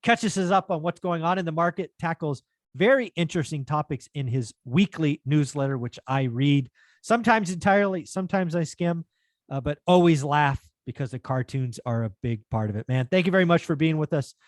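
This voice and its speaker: A man's voice: on the high side, brisk, no room sound. A middle-aged man hosting a podcast, greeting his guest in a chatty, upbeat way over a headset. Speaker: podcast host. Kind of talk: casual interview. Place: home studio indoors.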